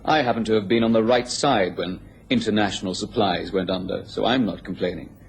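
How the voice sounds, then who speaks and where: A man's voice: on the low side, fairly quick, slight background noise, close to an everyday voice. An actor playing a taunting upper-class businessman in a radio drama, with a sardonic, goading manner. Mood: mocking. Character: sneering, taunting upper-class English businessman, a bitter rival.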